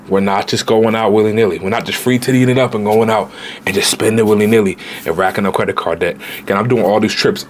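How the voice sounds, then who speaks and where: A man in his late twenties, a travel vlogger giving casual, animated budget travel advice straight to viewers, with slang. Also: on the low side, a little hiss or hum. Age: 20s.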